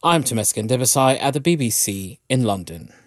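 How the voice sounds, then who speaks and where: A man reading a radio news bulletin in a crisp, formal manner from a studio. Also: medium pitch, lively intonation, brisk, no room sound. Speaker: news reader. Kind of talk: newscast bulletin.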